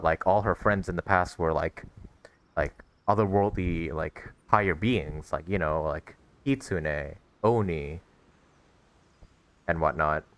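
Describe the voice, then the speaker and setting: A man's voice: low-pitched, fairly bright tone, dry recording. A man giving casual reaction commentary as a game streamer, musing aloud conversationally into a close mic.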